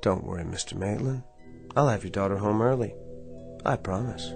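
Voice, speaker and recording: An actor playing a smug, smooth-talking self-made man in a radio drama, confident and insistent. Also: on the low side, normal speed. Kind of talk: vintage radio drama acting. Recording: old radio drama with faint music.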